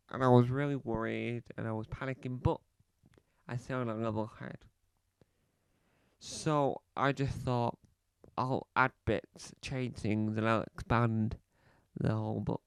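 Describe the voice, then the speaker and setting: A man playing a self-important male celebrity podcaster, rambling and halting with a slight cough, speaking close to a dry mic. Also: medium pitch, creaky, clear, resonant tone, no echo. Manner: rambling, halting, fond of repeating phrases. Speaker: comedy podcast host character. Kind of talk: scripted comedy monologue.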